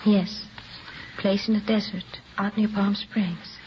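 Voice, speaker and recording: A woman's voice: medium pitch, flat delivery, slow, lightly acted. An actress playing an evasive, weary young mother under questioning in a vintage radio crime drama, defensive and pleading. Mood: resigned, sorrowful. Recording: dull, noisy vintage radio recording.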